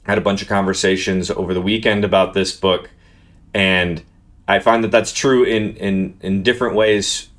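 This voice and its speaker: Male book reviewer, low-pitched and earnest, talks off the cuff and stumbles over restarts. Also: fairly even intonation, normal speed, a bit bright. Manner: earnest, thoughtful, restarts words often. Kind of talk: unscripted book review monologue.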